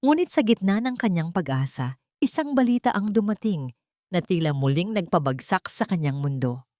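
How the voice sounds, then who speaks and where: A woman's voice: on the low side, big pitch swings, moderate pace, dark tone, dry recording, studio quality. A woman narrating a scripted story in a dramatic storytelling style.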